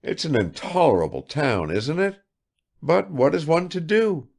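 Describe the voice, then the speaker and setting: A man's voice: a little high, sing-song, gravelly, a little mellow, voice pushed up, heavily acted. A man reading aloud and voicing an affected, chattering provincial woman who fires off questions without waiting for answers. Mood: gushing chatter. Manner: gushing, rapid-fire questions.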